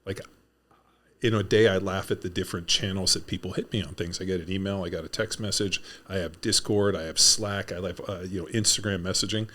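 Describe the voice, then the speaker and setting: A middle-aged man co-hosting a podcast, riffing in an animated way and rattling off lists into a studio mic. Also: low-pitched, fairly quick. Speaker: podcast co-host. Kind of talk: podcast interview. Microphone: studio boom mic.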